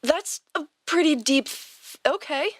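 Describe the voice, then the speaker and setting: A woman's voice: sits high, lively intonation, measured pace, no echo. Casual woman podcaster in a dry studio. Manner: informal, playful banter. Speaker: podcast host and voice actor. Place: recording studio.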